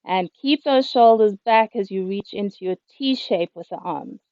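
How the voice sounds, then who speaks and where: A woman yoga teacher, a little hoarse, calmly cueing breath and movements through a class over a video call. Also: medium pitch, normal speed, no echo. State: slightly hoarse. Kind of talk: guided yoga instruction. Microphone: computer mic on a video call. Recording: dull, lo-fi video call audio.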